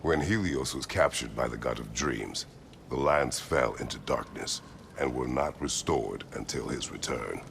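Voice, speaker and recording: An actor playing a grim, stern warrior who speaks tersely and heavily in video game dialogue. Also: male voice, rumbling low, vocal fry, slight background noise, full character voice. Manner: terse, heavy, measured speech. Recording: game audio with loud music.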